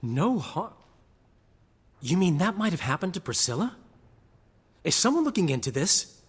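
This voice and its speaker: Emotional, very breathy dandy bard. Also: male voice, sits high, moderate pace, long reverb tail, full character voice. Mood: shocked, distraught. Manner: theatrical, expressive. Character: dramatic, emotional dandy bard, devoted friend. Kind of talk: video game voice acting.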